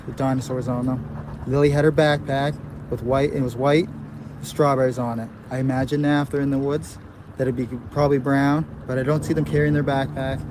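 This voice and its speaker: A man giving spontaneous answers as a stepfather in a news interview. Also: medium pitch. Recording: very noisy outdoor news recording.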